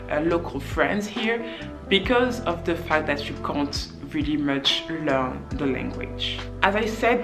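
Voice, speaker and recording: A young woman from France with a French accent, giving earnest advice in a casual vlog and leaning hard on "very". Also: lowish voice. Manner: earnest, repeats "very" for stress. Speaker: vlogger. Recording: music bed under the voice.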